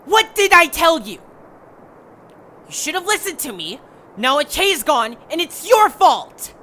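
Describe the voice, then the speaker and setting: A woman's voice: high-pitched, sing-song, measured pace, bright, forceful tone, full character voice. A woman voicing a bossy, irritable male creature who leads a group in an audio drama, scolding and blunt. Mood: accusing. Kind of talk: amateur audio drama acting.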